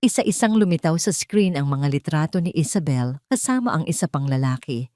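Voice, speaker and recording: A woman narrates a scripted drama. Her voice sits low, and the recording is close and dry, with no room sound. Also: lively intonation, brisk, dark tone, dry recording.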